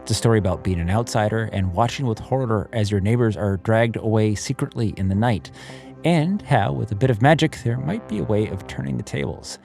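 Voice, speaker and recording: A man's voice: on the low side, lively intonation, a little fast, gravelly, darkish tone, soft background music. A man speaking as host and producer, giving a scripted introduction to a fiction podcast.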